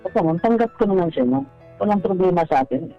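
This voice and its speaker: A middle-aged man calling a radio show, telling his story casually in a halting, deferential way, repeating his phrases. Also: sits high, animated, gravelly. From Philippines, Visayas or Mindanao. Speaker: caller to a radio advice show. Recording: dull lo-fi phone call, faint music.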